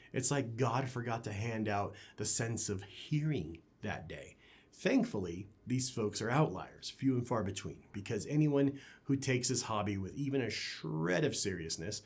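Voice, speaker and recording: A man's voice: medium pitch, a little mellow, husky. A man hosting a product review channel, talking in a conversational, opinionated way with emphatic phrasing. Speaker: audio gear reviewer and channel host.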